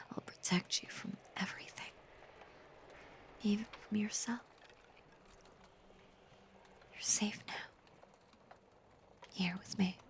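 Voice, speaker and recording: A woman playing a possessive, obsessive female werewolf in an intimate audio roleplay, half-whispering with slow, seductive menace. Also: lowish voice, vocal fry, darkish tone. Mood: soothing. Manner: slow, lingering, seductive delivery.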